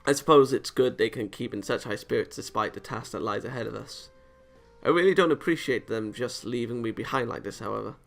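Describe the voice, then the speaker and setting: A man reading visual novel narration aloud as a let's player, in an expressive, dramatised way. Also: medium pitch, fairly quick, creaky, soft background music. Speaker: video game let's player.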